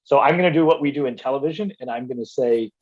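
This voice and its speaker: Male host, husky voice, chatty and enthusiastic, dull lo-fi recording. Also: medium pitch, fast, a little mellow. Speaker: show host. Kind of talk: upbeat hosting banter.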